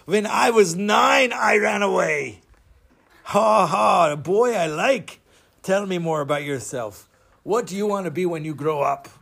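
A storyteller voicing a huge, blunt, boisterous male villain with a menacing, booming manner. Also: a little slow, gravelly, fairly bright tone, husky, heavily acted. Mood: boisterous, gleeful.

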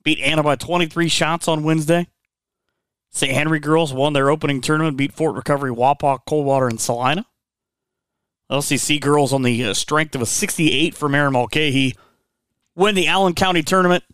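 A man's voice: a little high, steady tone, fairly quick, fairly bright tone, clean tone, dry recording. A man hosting a sports podcast, chatting in an easygoing, conversational way. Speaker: sports radio host.

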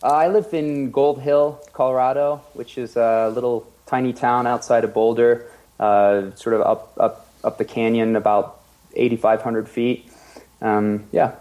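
A man with a French accent gives relaxed, somewhat hesitant answers as an interview guest. His voice has a warm, mellow tone, and he sometimes laughs at himself while speaking. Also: mid-range voice, unhurried. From France. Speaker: guest, ultra runner.

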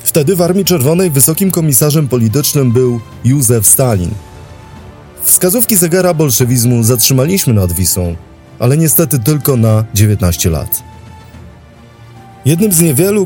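Mellow-voiced man narrating history. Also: mid-range voice. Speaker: history podcast host and narrator. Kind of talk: scripted documentary narration.

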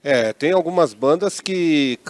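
A man's voice: fairly high pitch, animated, a little slow. A man, a co-host in a casual chat, agreeable and chiming in with short remarks. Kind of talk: casual conversation.

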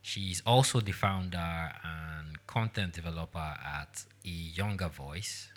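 A man hosts an interview podcast, his voice low and unhurried. His tone is bright and forceful, and he opens with a measured welcome before drawing out his guest with brief encouraging remarks. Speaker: podcast host.

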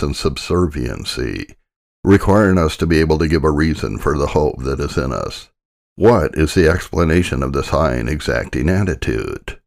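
A man reading a formal religious essay aloud as an audiobook narrator, in a measured, solemn way. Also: very deep voice, gravelly, darkish tone.

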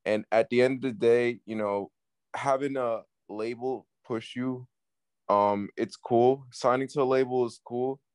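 A man from Peru who grew up partly in New York, giving casual interview answers as a music artist, haltingly and with repeated words. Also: medium pitch, steady tone, clean tone, dry recording. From Peru, moved to the US at six, based in New York City. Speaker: guest, up-and-coming Latin music artist.

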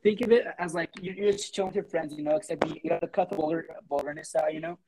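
A young man hosting a casual podcast among friends, talking fast with a stutter and plenty of swearing. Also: fairly high pitch, steady tone, mellow, slight background noise, poor audio.

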